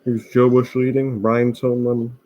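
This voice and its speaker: A man's voice: medium pitch, little pitch movement, a little slow, dark tone, rough recording. Chatty Midwestern teen boy. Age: teens. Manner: rambling, self-interrupting, chatty. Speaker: racing video host. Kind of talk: casual commentary over race replays.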